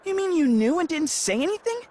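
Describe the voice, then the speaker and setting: A man's voice: extremely high pitch, big pitch swings, a little fast, darkish tone. An actor playing an earnest teenage boy blacksmith and protective brother in a video game, sincere and a little hesitant. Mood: surprised, thoughtful.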